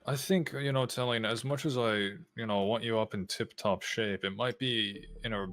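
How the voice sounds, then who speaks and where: A man's voice: mid-range voice, fairly even intonation, moderate pace, fairly bright tone, soft background music. A man playing a tabletop roleplay game, chatting casually as himself and his character.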